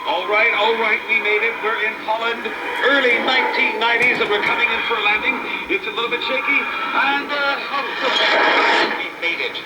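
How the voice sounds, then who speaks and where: A man's voice: very high-pitched, normal speed, echoey room, loud music behind the voice, rough recording. A man hosting an informal radio show in a chatty, playful way, often repeating himself, heard on a shortwave broadcast.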